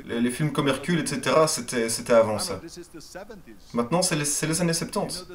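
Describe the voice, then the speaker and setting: A man's voice: medium pitch, lively intonation, a little fast. A man reading a first-person motivational speech as a dubbed voice-over, in an earnest and emphatic way. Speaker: voice-over translator.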